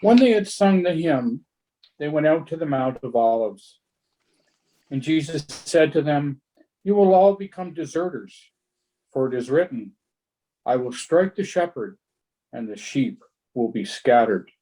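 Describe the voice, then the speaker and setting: A man's voice: a little high, expressive, a little slow, pauses often, mellow, poor audio. A man reading a scripture narrative aloud from a text, as a church member would for a service.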